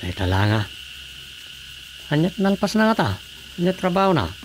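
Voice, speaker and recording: An everyday Ilocano husband in a radio drama. He speaks at a slightly high pitch, with clearly audible night crickets and noise around him. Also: big pitch swings, moderate pace. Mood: conversational. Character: adult Filipino husband, everyday family man. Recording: noisy track with chirping night crickets.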